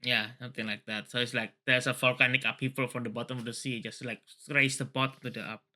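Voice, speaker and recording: A man with a bright, forceful voice at an average pitch hosts a read-along. He reads prose aloud and breaks off into casual commentary. His manner is excitable and digressive. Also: fast. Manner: excitable, often laughing and digressing. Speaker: host reading a story aloud with commentary.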